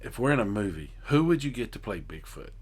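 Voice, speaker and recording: A man's voice: fairly low pitch, very animated, fast talker, a little mellow, husky. A man co-hosting a podcast in casual comedic chat, with a jokey, incredulous manner. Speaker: podcast co-host.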